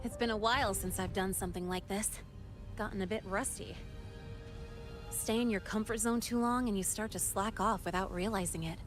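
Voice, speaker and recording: Woman acting young female hacker, video game character, confident, playful, casual explainer, music clearly behind the voice. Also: mid-range voice, moderate pace, lightly acted. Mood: pleased, wry. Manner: casual, self-assured, explanatory.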